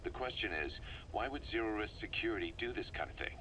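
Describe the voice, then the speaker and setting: A man playing a grave, analytical military intelligence officer, giving a measured, serious briefing in a video game audio log. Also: lowish voice, pushed and bright, poor audio. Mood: somber, knowing. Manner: measured, serious, expository delivery. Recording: faint noise, cassette-tape radio sound.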